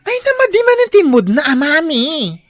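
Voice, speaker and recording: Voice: high-pitched, huge pitch swings, normal speed, no echo. A performer playing a brash, protective younger sibling in a comedy radio drama, snappy and exclamatory. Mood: grumbling.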